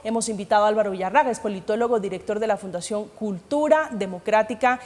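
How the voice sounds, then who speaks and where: A woman's voice: mid-range voice, fast, darkish tone. A woman formally moderating a televised debate as its host. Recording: long hall-like reverb.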